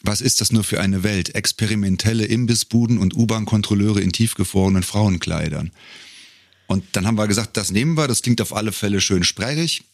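A middle-aged man telling stories as an interview guest in a casual, wryly humorous way, often pausing to find his words. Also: lowish voice, no echo. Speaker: podcast guest, a cappella singer and arranger.

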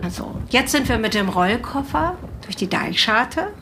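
A woman's voice: fairly high pitch, lively intonation, normal speed, noisy background. A middle-aged woman hosting a podcast, interviewing her guest in a casual, conversational way.

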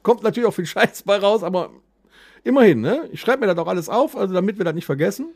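A man's voice: high-pitched, lively intonation, fast talker, darkish tone, husky, no echo, clean studio sound. A man chatting casually as a podcast co-host, blunt and colloquial, with some swearing. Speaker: podcast co-host and band member.

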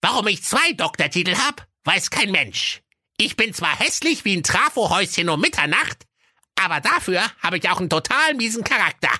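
Piercing, mean little gnome. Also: male voice, high-pitched, sing-song, moderate pace, sharp tone, slightly rough, dry recording, clean studio sound, pitched above the performer's own voice, full character voice. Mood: smugly wicked. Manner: gleefully nasty, self-mocking. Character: ugly, mean little gnome company doctor. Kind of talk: comic fairy-tale audio story.

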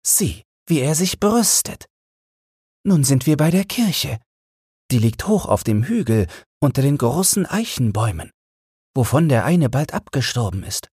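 A man's voice: medium pitch, very animated, a little slow, a little mellow, breathy edge, dry recording, clean studio sound, voice pushed up, exaggerated voice. A man voicing a sweet young fairy girl full of wonder in a storybook, with a lilting delivery. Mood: bright wonder.

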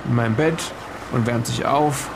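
A man playing a calm, practical ship's captain in an improvised audio drama, halting and repeating himself as he reassures people. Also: mid-range voice, vocal fry, background noise, natural voice. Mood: soothing.

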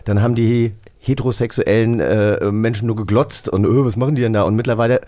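A man's voice: fairly low pitch, fairly even intonation, fairly quick, mellow. A man co-hosting a casual interview, talking in a chatty, rambling way. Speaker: co-host, interviewer. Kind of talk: casual chat.

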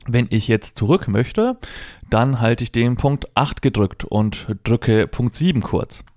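A man's voice: mid-range voice, animated, slow pace, a little mellow, dry recording. Man giving a dry, close-miked demonstration. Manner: informal, thinking aloud step by step. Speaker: presenter demonstrating assistive technology. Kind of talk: hands-on product demonstration, explanatory.

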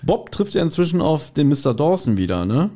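A man's voice: on the high side, very animated, fairly quick, dark tone. A man chatting casually as a podcast co-host, retelling an audio drama and slipping into acted quotes. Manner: acts out quoted lines while retelling. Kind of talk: casual chat recapping an audio drama episode.

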